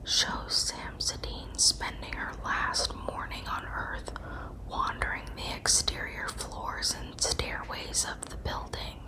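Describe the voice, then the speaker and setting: A woman narrating scripted true crime as ASMR in a breathy, unvoiced whisper, with measured, deliberate storytelling. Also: poor audio.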